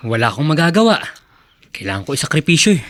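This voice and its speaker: An actor playing an earnest, self-sacrificing young working son in a radio drama. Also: male voice, fairly high pitch, big pitch swings, quick pace, a bit bright. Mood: resigned. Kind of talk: Filipino radio drama acting.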